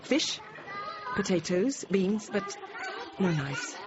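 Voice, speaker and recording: An actress in her thirties playing a frightened but composed French teacher held hostage, narrating quietly, often near a whisper. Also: mid-range voice, sing-song, takes it slowly, a crowd in the background. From France. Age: 30s. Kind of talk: first-person dramatic narration. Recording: dull noisy radio drama recording.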